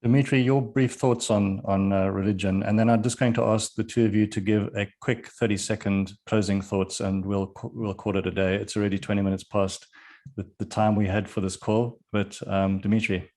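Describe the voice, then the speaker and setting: A man's voice: fairly low pitch, flat delivery, moderate pace, darkish tone. A man acting as moderator, informally handing over to panel guests.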